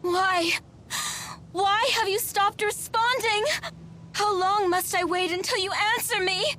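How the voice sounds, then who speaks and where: A woman's voice: extremely high pitch, slow, pushed and bright, a little hiss or hum, exaggerated voice. An actress playing a haughty, duty-bound female spirit in a video game, speaking formally and imperiously with precise diction. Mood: desperate. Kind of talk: dramatic video game voice acting.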